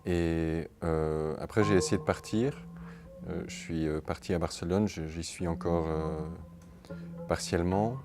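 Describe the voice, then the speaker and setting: Halting deep-voiced man, music behind. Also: steady tone. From Netherlands. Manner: halting, many repeated words and fillers. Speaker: artist interviewee. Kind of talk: hesitant interview answers.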